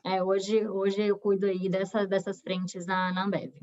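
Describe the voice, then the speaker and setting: A woman's voice: mid-range voice, little pitch movement, unhurried, darkish tone. Brief: woman, 20s, bahian accent, talkative guest, muffled video-call sound. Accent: Bahian Brazilian Portuguese. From Bahia, Brazil. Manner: chatty, many fillers and restarts. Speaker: guest, recruiter. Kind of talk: webinar interview. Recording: dull lo-fi video call audio.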